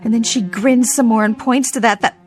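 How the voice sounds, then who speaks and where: An actress playing a feisty, anxious hospitalized dancer in a radio drama, with an American accent and a slangy, defiant, wisecracking manner. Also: female voice, a little high, fairly even intonation, a little fast, faint music behind, close to an everyday voice. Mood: insistent. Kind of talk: old-time radio drama acting.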